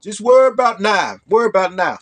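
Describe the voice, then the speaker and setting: A hoarse man voicing an old grandfather who keeps telling a child not to worry about the past. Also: sits high, expressive, a little fast, a bit bright, voice pushed up. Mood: dismissive. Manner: dismissive, repetitive.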